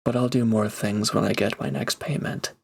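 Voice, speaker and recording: A man's voice: fairly low pitch, fairly even intonation, moderate pace, creaky, slightly rough. A man playing a reformed villain turned mercenary in an intimate roleplay audio drama, speaking casually and hesitantly with awkward, earnest gratitude. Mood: sincere, reassuring.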